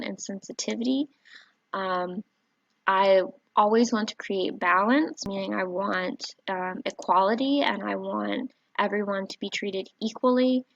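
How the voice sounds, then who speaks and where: A soft-spoken woman, a teacher, gently walking viewers through a website on screen, with a warm voice and frequent fillers. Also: medium pitch, creaky, no echo. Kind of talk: screen-recorded tutorial walkthrough. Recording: dry, slightly dull home recording.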